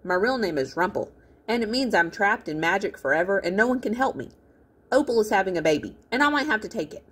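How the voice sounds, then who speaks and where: Storybook young boy, played by a woman: earnest, boastful, emphatic, with bouncy pitch and a husky edge. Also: expressive, fast, a bit bright. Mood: eager, chatty. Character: earnest, boastful young boy dictating messages to his friend.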